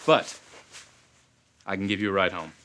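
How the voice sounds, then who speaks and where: Terse noir private eye, deadpan and clipped, lowish and bright, played by a man. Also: moderate pace, slight background noise. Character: hardboiled male private detective, dry and terse. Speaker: detective. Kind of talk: parody noir acting.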